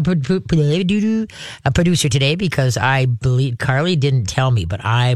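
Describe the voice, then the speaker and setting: A man's voice: a little high, no echo, studio quality. A man hosting a radio talk show about dogs, chatting casually and rambling, often laughing at his own remarks. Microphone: studio microphone.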